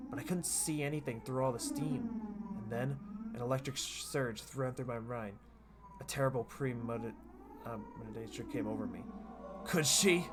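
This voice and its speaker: A man streaming a dramatic, expressive read-aloud of a visual novel, narrating in the first person. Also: medium pitch, background noise. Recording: loud music behind the voice.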